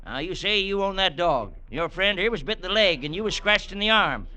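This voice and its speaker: An actor playing a bossy, officious small-town veterinarian in a 1950s radio drama, with a commanding manner. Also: male voice, sits high, lively intonation, a little fast, sharp tone, exaggerated voice. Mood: bossy, stern. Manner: insistent, commanding, folksy.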